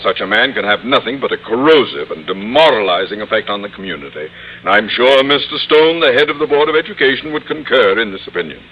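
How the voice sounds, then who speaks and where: An actor playing a pompous, irascible middle-aged school principal in an old-time radio comedy, with formal, blustering delivery. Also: male voice, on the low side, expressive, fast talker, muffled recording, natural voice. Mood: stern, pompous. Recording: noisy old radio recording, studio audience.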